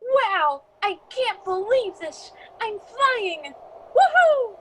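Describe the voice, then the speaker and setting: A woman's voice: very high-pitched, big pitch swings, very slow, fairly bright tone, a little hiss or hum, exaggerated voice. A woman playing an eager, reckless boy from myth in an amateur radio drama, exclamatory and impatient. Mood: exhilarated. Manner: exclamatory, impatient, wide-eyed.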